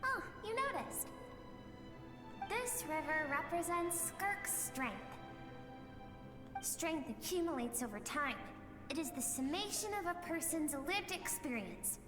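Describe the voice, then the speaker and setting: A woman voicing a playful, knowing spirit of an inner world, speaking gently and teasingly. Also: high-pitched, big pitch swings, slow, heavily acted. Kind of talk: fan voice-over of a game scene. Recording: echoey reverb with music.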